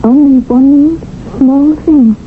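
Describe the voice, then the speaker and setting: An actress playing a plain, wounded wife in a 1970s radio drama, quietly knowing and resigned, in a measured, plaintive manner. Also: female voice, high-pitched, steady tone. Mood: wounded, quietly pointed. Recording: tinny, band-limited old radio broadcast, faint noise.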